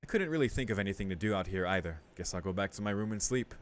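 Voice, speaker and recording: A man streaming a game, casually commenting and reading text aloud, thinking out loud as he goes. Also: on the low side, expressive, rapid-fire. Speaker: let's play streamer. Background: game music.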